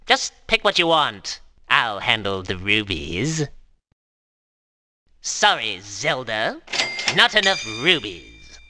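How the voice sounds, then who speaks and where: A man playing a shifty, mercenary old shopkeeper in an animated game cutscene. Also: mid-range voice, takes it slowly, pauses often, heavily acted. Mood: slyly matter-of-fact.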